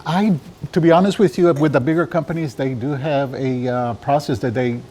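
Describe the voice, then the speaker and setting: A man from Mexico giving casual interview answers about his trade, often halting as he searches for words. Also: mid-range voice, fairly even intonation, darkish tone. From Juarez, Mexico. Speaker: interviewed draft beer system installer and business owner.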